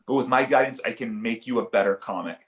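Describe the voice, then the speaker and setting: A man's voice: medium pitch, fast talker, fairly bright tone, lightly acted. A man playing an aggrieved dad, echoey room. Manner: defensive, aggrieved. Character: insecure, indignant comedian father feuding with his baby. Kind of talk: animated comedy pilot table read.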